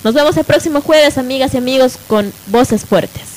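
A woman's voice: high voice, moderate pace, a little mellow, slight background noise, rough recording. A woman co-hosting a radio show, talking casually and in a friendly way straight to listeners. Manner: friendly, addressing listeners directly.

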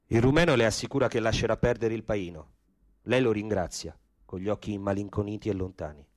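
A man's voice: fairly low pitch, fairly quick. A man narrating a literary story as an actor in radio theatre.

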